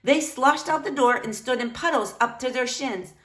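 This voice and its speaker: A woman reading a picture book aloud to young children in an expressive, animated way. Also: high voice, moderate pace. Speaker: storybook reader.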